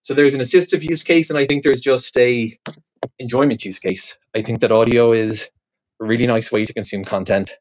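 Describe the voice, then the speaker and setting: A man's voice: medium pitch, steady tone, fairly quick, dull audio, a little hiss or hum. A man with an Irish accent, talking through a question in a loose, rambling way, full of ums and restarts. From Ireland. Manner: rambling, thinking aloud with many fillers and restarts. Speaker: podcast host posing a question. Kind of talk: casual spoken monologue.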